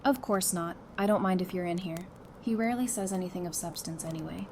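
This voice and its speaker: A woman playing a sassy, sarcastic, quick-witted wife with dry, teasing delivery in an audio drama. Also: lowish voice, close to an everyday voice. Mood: dry, playful. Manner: dry, teasing, matter-of-fact. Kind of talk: fan audio drama acting. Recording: clearly noisy background.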